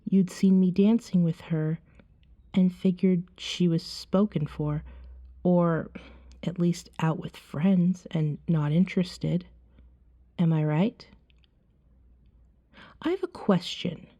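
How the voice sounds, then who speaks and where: Seductive female vampire woman acting, ASMR roleplay. Very slow, soothing, often whispered; slightly dull lo-fi recording. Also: lowish voice, dark tone. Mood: knowing, gentle. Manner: soothing, hypnotic, unhurried, often dropping to a whisper.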